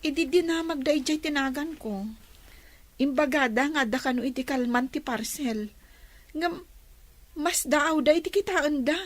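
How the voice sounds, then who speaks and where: High-voiced, earnest young woman acted by a woman. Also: moderate pace, long pauses, lightly acted. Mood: troubled. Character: polite, earnest young daughter awaiting a parcel. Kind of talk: radio drama acting.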